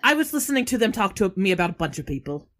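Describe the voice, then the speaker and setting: A woman's voice: mid-range voice, big pitch swings, rapid-fire, fairly bright tone. A woman playing a bored, wounded female crewmate in a fantasy game, rambling in an offhand way.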